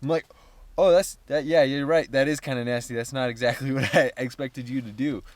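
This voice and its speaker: A man's voice: medium pitch, fairly even intonation, brisk, no echo. Upbeat young man vlogging casually. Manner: upbeat, jokey, rambling storyteller. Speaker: startup founder vlogger. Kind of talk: casual vlog chat.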